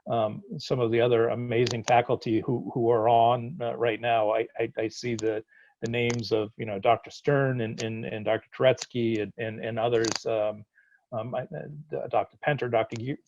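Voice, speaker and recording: A man's voice: medium pitch, flat delivery, moderate pace, darkish tone, lo-fi sound, slight background noise. A man with a Boston accent, a dean hosting a virtual town hall, talking informally with many restarts and fillers over a video call. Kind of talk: informal closing remarks.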